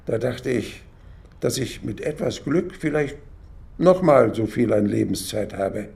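An actor playing an old former radio writer, musing haltingly and wryly in a reflective radio play monologue. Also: male voice, medium pitch, animated, gravelly, darkish tone. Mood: wistful, musing. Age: older. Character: old former radio writer sorting his papers, wistful and wry.

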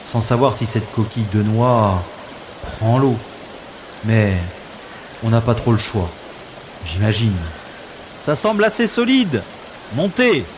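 Rushing water and noise surround a man acting as a wry special agent on the run in an audio drama. His delivery swings widely in pitch, and he mutters sardonic asides to himself. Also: animated. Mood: resigned, resolute. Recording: noisy, with rushing water effects.

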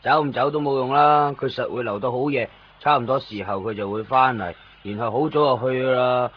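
An actor playing an easygoing, talkative friend who shows the way, speaking colloquially in a Cantonese radio drama. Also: male voice, medium pitch, fairly quick, close to an everyday voice. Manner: chatty, colloquial. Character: chatty, easygoing male friend acting as local guide. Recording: dull radio recording, faint noise.

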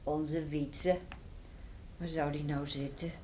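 A woman's voice: deep voice, level tone, slow. Wistful, middle-aged farm mother. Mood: wistful, questioning. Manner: terse, wistful. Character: anxious, quietly knowing farm wife and mother. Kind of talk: Dutch radio play acting. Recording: faint noise on radio recording.

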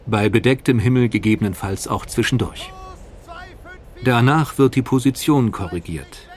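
A man reading scripted documentary narration in a measured, explanatory manner. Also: mid-range voice, a little mellow.

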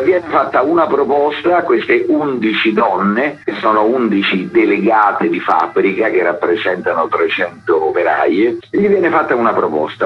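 A man speaking as a theatre director in a radio interview, explaining his work, with a husky voice and dull sound. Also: lowish voice.

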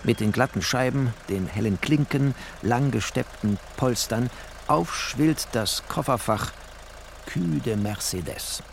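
A man's voice: fairly low pitch, gravelly, a little hiss or hum. An actor playing a bookish, wry, tender poor writer who narrates his life in clipped, poetic phrases in a radio play. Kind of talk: literary first-person radio play narration.

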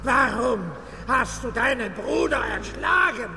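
An actor playing a fiery male preacher at a funeral, booming out a drawn-out, accusing sermon in a radio drama. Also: animated, gravelly, heavily acted. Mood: preaching. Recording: echoey hall with loud music.

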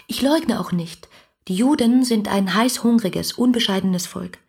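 An actress playing an ardent, dreamy young letter writer, breathy and confiding, in a radio play. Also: female voice, medium pitch, unhurried, a little mellow, exaggerated voice. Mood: earnest, defiant. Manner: musing, confiding, intimate.

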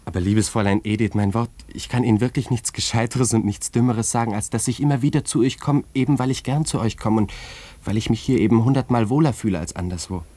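An actor in a radio play voicing a proud, earnest young cavalry lieutenant, polite and emphatic. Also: male voice, mid-range voice, quick pace, mellow, dry recording, natural voice. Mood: earnest, tender.

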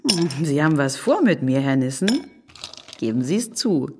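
A woman's voice: sits low, very animated, normal speed, heavy background noise. An actress playing a sardonic married city woman in an audio drama, speaking in a dry, ironic, conversational way. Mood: teasing. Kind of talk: German audio drama acting.

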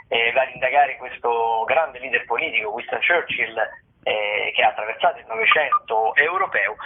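A man who is a theatre critic, calling in with the week's listings in a hesitant, rambling way full of fillers. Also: medium pitch. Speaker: theatre critic, weekly contributor. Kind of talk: casual phoned-in theatre listings. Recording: husky phone line over radio.

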